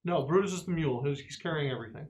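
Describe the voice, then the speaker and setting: A man's voice: on the high side, rapid-fire. Casual male gamer, noisy room. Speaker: player in a tabletop roleplaying game. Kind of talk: casual joking game-table chat.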